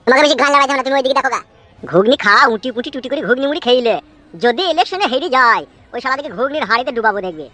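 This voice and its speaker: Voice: high voice, expressive, very fast, bright, forceful tone. A performer with a female-sounding voice playing a brash, foul-mouthed cartoon villager who argues in crude slang. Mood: irritated, bickering. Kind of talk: comic cartoon dialogue.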